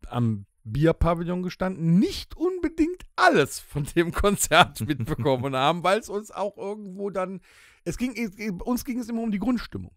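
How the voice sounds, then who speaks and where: A man's voice: high voice, big pitch swings, moderate pace, dry recording, studio quality. A man chatting casually as a podcast host among bandmates.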